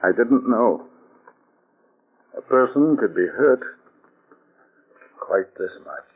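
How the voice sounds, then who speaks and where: An actor playing a heartbroken older army colonel in a 1940s radio drama, hesitant and wounded. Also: male voice, mid-range voice, animated, slow, breathy edge. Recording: dull old radio with music.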